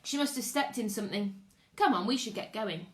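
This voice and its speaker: A woman's voice: mid-range voice, animated, brisk, lightly acted. A woman voicing a dry, sardonic young girl adventurer in a storybook, with pointed delivery. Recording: echoey room.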